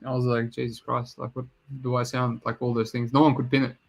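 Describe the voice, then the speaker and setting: A man's voice: medium pitch, level tone, brisk, vocal fry. Laid-back man with a mixed Serbian-Australian accent. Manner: laid-back, swears casually. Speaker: podcast host. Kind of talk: casual chat.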